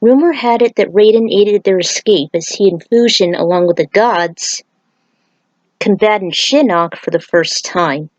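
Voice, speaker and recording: A woman's voice: mid-range voice, no echo, low-quality recording. A woman reading a story aloud as narrator, occasionally stumbling over a word. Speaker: fan fiction reader.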